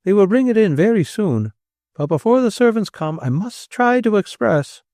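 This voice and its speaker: This is a fluttering, prim old lady from a storybook, as a male narrator puts her on. Her voice rises high above the reader's own and trembles with nervous emotion. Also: very animated, moderate pace, darkish tone, dry recording, studio quality. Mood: anxious, prim. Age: older. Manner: tremulous and shaky, prim and formal. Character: timid elderly gentlewoman, fluttering and tearful.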